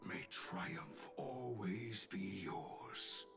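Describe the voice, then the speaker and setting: An actor playing a cryptic, solemn craftsman creature in a fantasy video game, with halting, inverted, ceremonial phrasing. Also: medium pitch, big pitch swings, music in the background, full character voice. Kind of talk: scripted video game dialogue acting.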